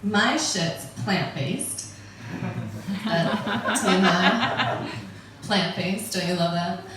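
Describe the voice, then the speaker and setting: A woman's voice: lowish voice, very slow, background noise. Wry American woman doing stand-up. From United States. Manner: wry, chatty, laughs at her own jokes. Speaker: stand-up comedian. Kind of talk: stand-up comedy set. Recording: echoey room, voice from a distance.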